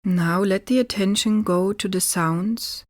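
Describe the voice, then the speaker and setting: Female yoga nidra guide, medium pitch, very slow calm delivery with gentle pauses, close dry recording. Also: no room sound. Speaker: yoga nidra meditation teacher. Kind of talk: guided relaxation meditation instruction.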